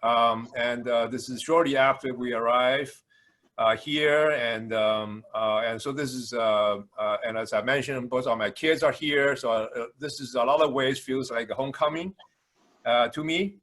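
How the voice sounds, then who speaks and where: Halting older man with a Taiwanese accent. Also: mid-range voice, a bit bright. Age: 60s. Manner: halting, frequent hesitations and repetitions. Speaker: university administrator introducing himself. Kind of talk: informal introductory speech. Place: video call.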